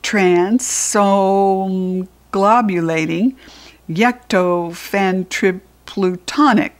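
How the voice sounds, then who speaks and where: A woman's voice: medium pitch, very slow. A woman voicing a proud, suspicious brainy schoolboy inventor in a children's storybook, pedantic and showing off.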